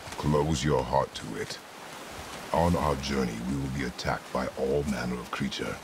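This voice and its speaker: An actor playing a grim, stern warrior father in a video game, speaking tersely and formally in a commanding, very deep gravelly voice. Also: fairly even intonation, moderate pace, noisy background. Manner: terse, commanding, formal phrasing without contractions. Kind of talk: dramatic video game acting.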